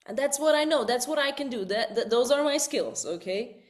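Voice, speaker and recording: A woman who is a vocal coach, presenting a live online webinar in a lively way. She repeats words and keeps checking in with listeners. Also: high voice, brisk.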